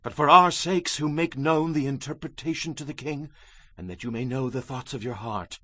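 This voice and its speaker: A man's voice: mid-range voice, moderate pace, pushed and bright, no echo. An actor playing a solemn male prophet, declaiming emphatically in a dramatized scripture reading. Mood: solemn, awed. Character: Daniel, male prophet solemnly interpreting a king's dream.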